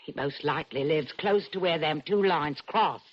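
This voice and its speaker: A dull, slightly noisy vintage radio recording of a woman acting a working-class wife. She is anxious and sharp-tongued, nagging in colloquial, theatrical radio-play style. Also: low-pitched, moderate pace, natural voice. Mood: eager, scheming. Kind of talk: old-time radio drama acting.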